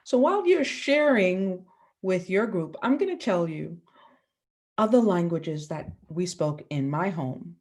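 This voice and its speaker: A woman teacher from the Bahamas giving a lesson to children, asking the class questions and pausing for answers. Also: fairly low pitch, animated, a little mellow.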